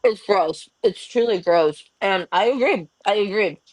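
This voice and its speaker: A woman's voice: mid-range voice, unhurried, no room sound, low-quality recording. A woman in a casual live-stream talk, speaking earnestly and a little defensively, with many fillers. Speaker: guest in live stream discussion.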